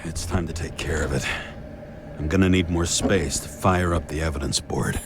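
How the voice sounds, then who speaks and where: An actor playing a weary, cynical noir detective in a video game, terse and haunted, with a gravelly voice. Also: male voice, extremely low pitch, moderate pace, noisy background. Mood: businesslike. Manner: brooding, terse, world-weary. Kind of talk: noir voice-over and game dialogue. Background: prominent music.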